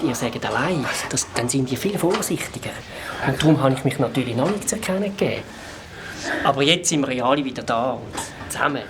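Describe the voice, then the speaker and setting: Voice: medium pitch, natural voice. An actor with a Swiss German accent voicing a chatty, friendly shape-shifting creature in a children's radio play. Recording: audible background noise.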